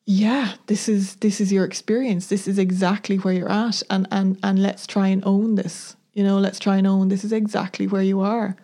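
A woman's voice: mid-range voice, level tone, normal speed, hardly any pauses, dark tone. A woman co-hosting a talk podcast, thoughtful and earnest, searching for words as she reflects. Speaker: podcast co-host. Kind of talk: reflective conversational discussion.

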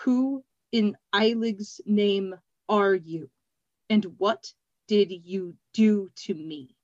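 A furious grown male glassblower, as a woman reader puts him on, speaking in slow, deliberate words. The voice is set a little back from the mic, and the recording sounds dull. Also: on the high side, a bit bright, no room sound. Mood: angry slow.

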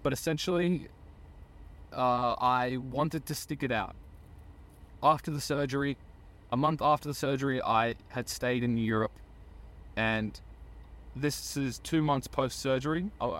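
A man's voice: mid-range voice, a bit bright. A young man of about twenty, a podcast guest earnestly telling his own story in a casual way and often correcting himself mid-sentence. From Australia. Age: around 20.

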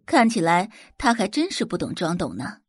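A woman reading a dramatic audiobook in an expressive storytelling manner. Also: lowish voice, normal speed, husky, no room sound, clean studio sound.

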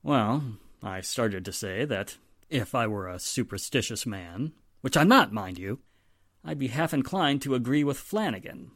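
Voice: mid-range voice, animated, unhurried, fairly bright tone, no room sound. An actor playing a weary, sardonic male police inspector in a 1940s-style radio drama, speaking emphatically with dry exasperation. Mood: reluctant.

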